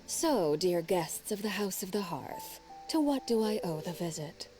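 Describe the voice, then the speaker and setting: A woman voicing a cold, composed female spymaster in a video game, calm, measured and quietly evasive. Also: fairly low pitch, music in the background. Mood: cool. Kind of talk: game dialogue.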